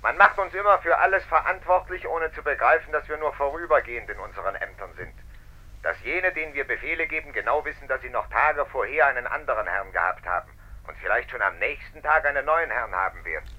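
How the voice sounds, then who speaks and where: A man's voice: medium pitch, lively intonation, fast talker, pushed and bright. A man playing an evasive, patronising prime minister in a 1950s German radio drama, speaking pompously and condescendingly. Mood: evasive, lecturing. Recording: dull band-limited vintage radio sound.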